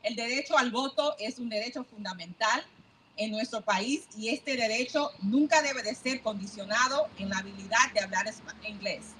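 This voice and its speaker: Lady lawyer reading a formal statement, kinda high voice, faint hiss. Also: normal speed, fairly bright tone, low-quality recording. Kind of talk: prepared press statement. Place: outdoor rally.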